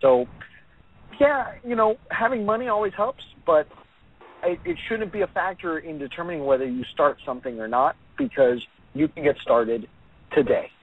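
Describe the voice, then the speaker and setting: An upbeat, energetic American man speaking conversationally as a guest in a radio interview. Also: fairly high pitch, moderate pace, breathy edge, phone-quality sound. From Miami, United States. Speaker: entrepreneur and author, show guest.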